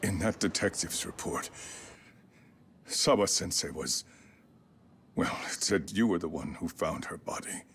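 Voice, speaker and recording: An actor playing a weary school chairman in a game drama, speaking formally and haltingly with solemn courtesy. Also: low voice, animated, a little hiss or hum. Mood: grave, courteous. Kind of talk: dramatic game cutscene dub acting. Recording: loud background music under the voice.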